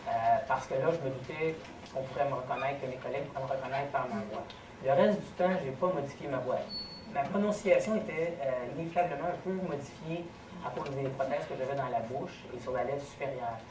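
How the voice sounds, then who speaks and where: A man with a Québécois accent, answering audience questions in a measured, explanatory way as a guest speaker at a lecture. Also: mid-range voice. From Quebec. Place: college lecture room. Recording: echoey, noisy college room, distant mic.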